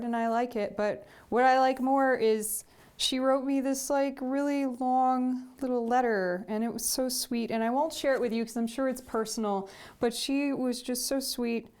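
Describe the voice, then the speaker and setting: A woman's voice: on the high side, a little mellow, clean tone. A young woman chatting casually as she opens fan gifts, gushing and repeating herself. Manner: gushing, repeats "sweet" often. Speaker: vlogger. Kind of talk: casual gift unboxing chat.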